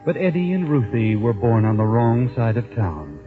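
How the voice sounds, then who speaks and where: An actor playing a sinister, all-knowing night-walking narrator of a 1940s radio crime drama, ominous and wry. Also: male voice, medium pitch, very animated, moderate pace, lightly acted. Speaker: host and narrator of a crime anthology. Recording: muffled 1940s radio recording with music.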